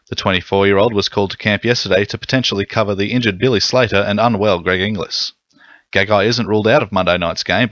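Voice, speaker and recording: A man's voice: lowish voice, a bit bright, dry recording, highs cut off. A man reading a scripted sports bulletin on the radio. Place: dry radio studio.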